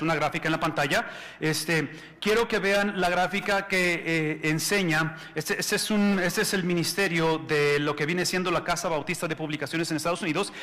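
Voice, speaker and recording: A man in his forties preaching from a stage in a large hall, with a bright, forceful tone set a little high. He talks in a conversational way, repeats words as he restarts, and keeps checking in with the listeners. The sound rings with a long reverb. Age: 40s. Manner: addresses the audience with questions. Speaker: pastor. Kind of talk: conference sermon.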